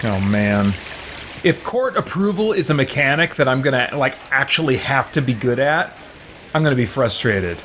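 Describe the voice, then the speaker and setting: Male let's play host, medium pitch, casual conversational gameplay commentary. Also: sing-song, normal speed, background noise.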